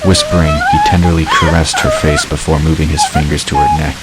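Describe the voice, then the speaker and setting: A man's voice: deep voice, wildly swooping pitch, fairly quick, loud music behind the voice, poor audio. A man narrating a dramatic fantasy story from a script. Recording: clear hiss behind the narration.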